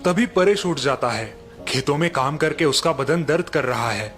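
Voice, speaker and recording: A man's voice: fairly high pitch, normal speed, faint music behind. A man narrating a village moral story in a scripted storytelling style. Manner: even, explanatory narration.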